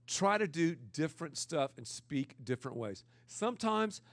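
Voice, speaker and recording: A pastor with a naturally loud voice who teaches with energy and repeats words for emphasis. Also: male voice, on the high side, very animated, moderate pace, dry recording. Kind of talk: energetic teaching talk to church leaders.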